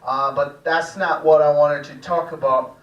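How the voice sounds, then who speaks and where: A man's voice: a little high, steady tone, unhurried, dull audio. A man performs stand-up. He takes his time over each line. The sound is distant and roomy, as from a stage in a small venue. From Germany. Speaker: stand-up comedian. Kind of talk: live stand-up routine. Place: small live comedy room at a cafe. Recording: echoey room, distant stage microphone.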